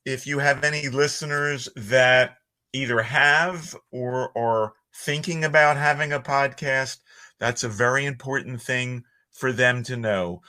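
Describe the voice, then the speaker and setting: A man with a Philadelphia accent, a voiceover artist talking as a guest on a podcast in a measured, articulate way. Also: medium pitch, bright, forceful tone. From Northeast Philadelphia. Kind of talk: conversational podcast interview. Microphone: audio-only remote podcast call.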